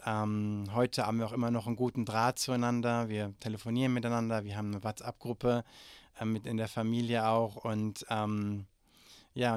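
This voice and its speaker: A man's voice: medium pitch, clear, resonant tone, dry recording, clean studio sound. A man in his forties from Germany, answering interview questions hesitantly with many fillers and restarts. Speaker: interview guest.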